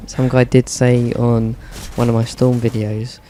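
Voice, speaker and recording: A man giving casual, monotone commentary over his own gameplay, with dry humour and many hesitations. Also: medium pitch, measured pace, slight background noise. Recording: game gunfire in the background.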